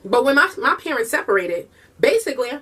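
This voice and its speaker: A woman chatting casually while eating, talking fast with a Southern US and Puerto Rican-tinged accent and slipping into bits of song. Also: high voice, sing-song, bright, forceful tone, husky, recorded from a distance. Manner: breaks into bursts of singing. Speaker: vlogger and mother.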